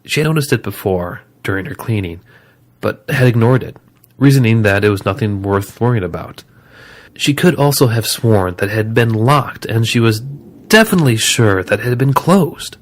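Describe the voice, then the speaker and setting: A man's voice: fairly low pitch, expressive, moderate pace. A man reading scripted story narration as the narrator of an audio drama.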